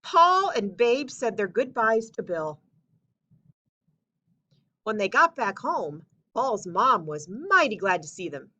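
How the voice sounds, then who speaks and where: A woman narrates a children's tall tale in a bright, forceful voice. She is expressive and emphatic, with animated pitch and an unhurried pace. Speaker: story reader. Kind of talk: children's storybook read-aloud narration.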